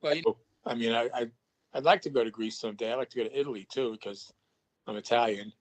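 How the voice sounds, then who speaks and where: A man's voice: mid-range voice, fast talker. A man on a video call chatting casually about paranormal investigation, rambling with lots of restarts and repeated words.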